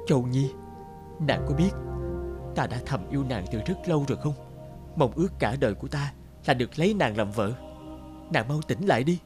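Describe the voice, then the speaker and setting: An actor, a man, playing a devoted young gentleman in an animated fairy tale, tender, earnest and pleading. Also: medium pitch, brisk, pauses often, lightly acted. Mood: anxious, tender. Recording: guitar music behind dialogue.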